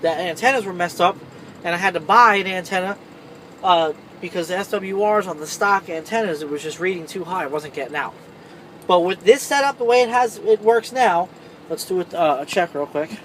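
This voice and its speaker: A man's voice: sits high, brisk, fairly bright tone, slight background noise. A man giving an informal, conversational hands-on tutorial, talking directly to viewers like a trucker hosting his own video. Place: inside a semi truck cab.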